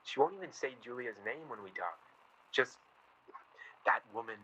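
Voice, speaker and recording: An actor playing an earnest, exasperated young man in a naturalistic radio play, halting and defensive as he talks. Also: medium pitch, lively intonation, sharp tone, a little hiss or hum, rough recording. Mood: weary, defensive. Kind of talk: radio play dialogue, naturalistic period drama.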